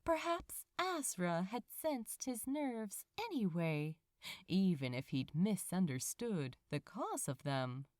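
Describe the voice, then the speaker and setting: A woman narrating an audiobook story expressively, with theatrical, wry and deliberate phrasing. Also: on the low side, very animated, darkish tone, no echo, clean studio sound. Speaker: fan fiction audiobook narrator.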